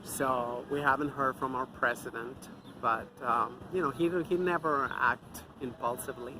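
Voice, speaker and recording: A man's voice: medium pitch, fairly even intonation, normal speed, background noise. Angry man ranting outdoors. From Mexico. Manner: angry, profane, scornful. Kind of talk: off-the-cuff ranting monologue.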